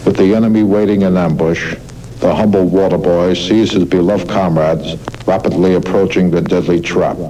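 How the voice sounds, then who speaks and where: A man's voice: deep voice, warm tone, a little hiss or hum, low-quality recording. A man narrating a legend in a grandiose, formal, mock-solemn storytelling style, on a worn radio cassette recording.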